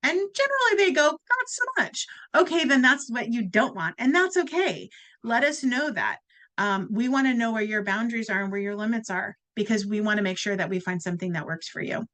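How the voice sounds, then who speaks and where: A woman's voice: fairly high pitch, lively intonation, a little fast, a bit bright. Encouraging woman giving direct advice. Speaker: program presenter at a community forum. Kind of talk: prepared presentation on a video call.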